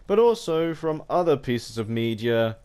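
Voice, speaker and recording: A man giving unscripted, rambling commentary as a gaming video host, halting and often restarting his sentences mid-word. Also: medium pitch, sing-song, darkish tone, clean tone. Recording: home recording with background noise.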